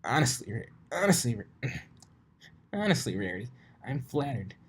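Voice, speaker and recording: A man's voice: mid-range voice, big pitch swings, moderate pace, slightly rough, voice pushed up. A man voicing a brash, teasing young female pegasus pony from a cartoon story, cocky and easily rattled. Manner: cocky, mocking, exclamatory.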